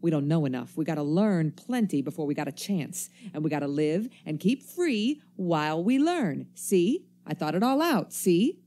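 A woman's voice: low voice, animated, moderate pace, few pauses, mellow, slight background noise. A woman acting a wary, embittered survivor in a radio play, with folksy, slangy, cynical speech. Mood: scheming, scornful. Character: wary, embittered survivor stranger armed with a knife.

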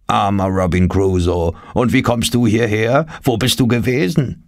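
A man voicing a talking parrot in an audiobook, calling out mournful, repetitive questions in a drawn-out way. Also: on the low side, dry recording, studio quality, close to an everyday voice. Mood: plaintive.

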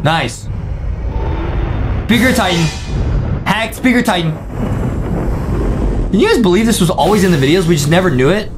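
Young man, American accent, animated pitch, excited reaction commentary, exclamatory, slangy, music behind. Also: normal speed, pauses often. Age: young adult. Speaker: YouTube host.

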